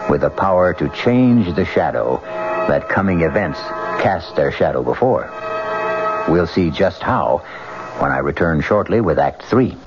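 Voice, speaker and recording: A man's voice: deep voice, lively intonation, a little slow. A man hosting a radio mystery drama, giving scripted narration in a theatrical, portentous manner. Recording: dull lo-fi radio broadcast. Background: prominent music.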